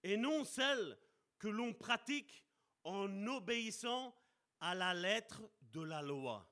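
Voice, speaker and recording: A man preaching a sermon with passion, slowing down to stress key words and calling out to his brothers and sisters. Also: high voice, expressive, pushed and bright. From Italian heritage. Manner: impassioned, addresses congregation as brother and sister.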